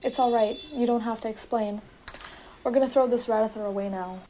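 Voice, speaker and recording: A teenage girl playing a gentle, loyal and concerned wife in a radio drama. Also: on the high side, steady tone, brisk, noisy background, natural voice. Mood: resigned. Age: teens, high school student.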